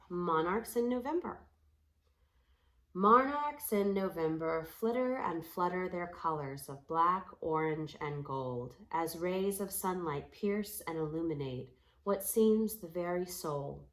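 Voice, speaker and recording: A woman reads a storybook aloud in a fairly low voice. She takes her time over each phrase, very slowly and deliberately, giving the poetic lines an expressive, recited shape. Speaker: storybook reader. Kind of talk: storybook read-aloud in rhyming, poetic prose.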